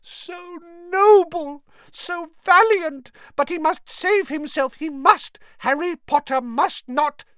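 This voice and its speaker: A man's voice: very high-pitched, slow pace, few pauses, pushed and bright, no room sound, studio quality, voice pushed up, heavily acted. A man voicing an anxious, servile male house-elf who pleads and keeps calling people sir. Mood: frantic.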